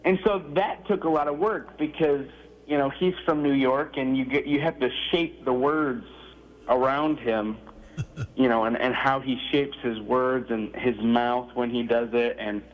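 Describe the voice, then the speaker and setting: A man calling in to a radio show, chatty and laughing often, his voice a little gravelly today. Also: medium pitch, a little slow, dull audio. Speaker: radio guest, impressionist. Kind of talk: casual phone chat. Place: remote call from Florida.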